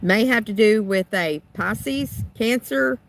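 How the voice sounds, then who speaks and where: A woman doing a tarot card reading, thinking aloud in musing, trailing phrases, her voice a little rough and raspy from being sick. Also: on the high side, slow. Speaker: tarot reader.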